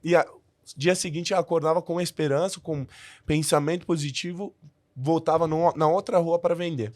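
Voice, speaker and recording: A man's voice: fairly high pitch, steady tone, moderate pace, no echo, studio quality. A man who learned the language as an adult, a podcast guest telling his story in a casual way with many restarts and fillers.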